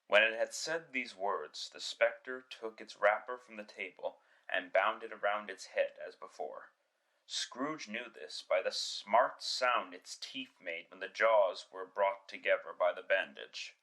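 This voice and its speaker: A man narrating a classic story in a scripted, dramatic style with measured, literary delivery. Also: lowish voice, sharp tone.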